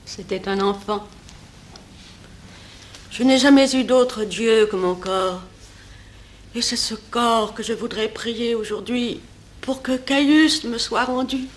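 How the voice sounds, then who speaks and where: An actress playing a worldly, sorrowful older mistress of a Roman emperor, grave and theatrical, in a mid-century French radio play. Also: female voice, on the high side, takes it slowly, pauses often. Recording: old radio recording, faint noise.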